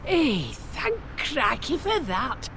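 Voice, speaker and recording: Northern english medicine woman for a video game, blunt n folksy, really animated, takes her time. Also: high voice, takes it slowly, slight background noise, heavily acted. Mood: relieved, amused. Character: spirited village medicine woman and seer, blunt, cheeky.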